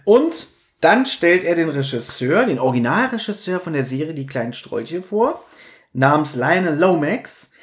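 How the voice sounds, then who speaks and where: A man's voice: a little high, sing-song, moderate pace. A man co-hosting a podcast, chatting casually and animatedly as he reviews audio dramas. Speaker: podcast co-host.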